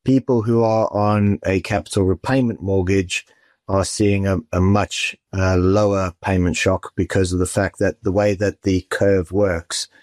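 Man, very deep voice, mortgage adviser, measured, explanatory, dry sound. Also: level tone, darkish tone, dry recording. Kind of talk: expert interview answers.

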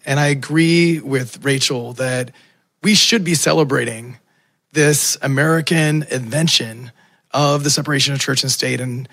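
Male panelist, raspy and hoarse, earnest delivery, dead-dry mic. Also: mid-range voice, fairly bright tone, no room sound. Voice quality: gravelly. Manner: earnest, thoughtful, with small laughs. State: raspy voice from illness. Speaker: panelist, Baptist minister. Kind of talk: panel discussion remarks.

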